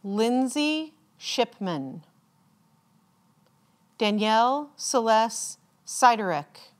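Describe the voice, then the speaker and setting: Very slow-paced woman announcing names formally. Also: fairly high pitch, big pitch swings. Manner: measured, deliberate enunciation with pauses. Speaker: commencement announcer reading graduates' names. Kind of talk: formal ceremonial reading of names.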